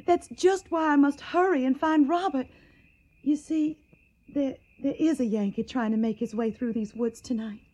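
A woman's voice: high voice, moderate pace, dark tone, lightly acted. An actress playing an earnest, anxious young woman in a radio drama, pleading and hesitant. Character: earnest, anxious young Southern belle in love.

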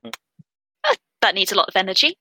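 A woman's voice: medium pitch, wildly swooping pitch, normal speed, sharp tone. A woman playing a tabletop game, chatting casually as she improvises.

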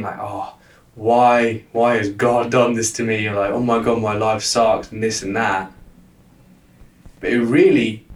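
Stuttering young man, roomy and distant. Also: mid-range voice. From lives in London. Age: young adult. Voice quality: stutter, frequent word repetitions. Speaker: guest, life coach. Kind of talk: casual interview talk.

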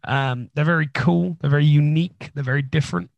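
A man co-hosting a casual podcast, his voice gravelly with a crackly edge and husky after a recent illness. He rambles along with deadpan jokes. The sound is dry and close, with no room around it. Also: a little high, fairly quick, no room sound, lo-fi sound. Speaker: podcast co-host. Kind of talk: casual chat.

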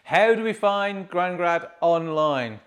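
A man's voice: high voice, expressive, takes it slowly. A man hosting a casual podcast interview, friendly and encouraging, keeping his replies brief. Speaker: podcast host.

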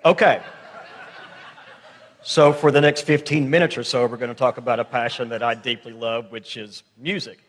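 A man's voice: mid-range voice, fairly even intonation, normal speed. A middle-aged man giving an informal, folksy talk to a congregation, often checking in with his listeners. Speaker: guest speaker at church. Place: large hall, church service. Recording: echoey hall with long reverb.

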